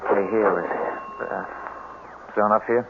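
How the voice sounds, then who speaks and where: A brooding American swamp recluse, guarded and terse in a radio play. His voice sits fairly low. The recording is phone-thin with clear hiss behind it. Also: steady tone, moderate pace. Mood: reserved. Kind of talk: radio drama acting. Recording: tinny, noisy old radio broadcast recording.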